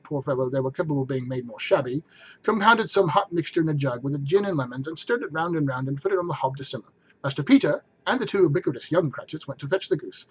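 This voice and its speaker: A man's voice: a little high, slightly rough, lo-fi sound. A man giving a theatrical, emphatic dramatic reading of a story as narrator.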